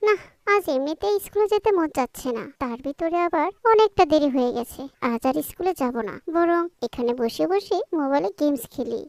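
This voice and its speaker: A woman's voice: high voice, lively intonation, a little fast, no echo. A woman voicing a sly, whiny young schoolgirl in a cartoon. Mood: sneaky. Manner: whiny, childish, scheming. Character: sly young schoolgirl who fibs to dodge chores. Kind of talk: cartoon voice acting.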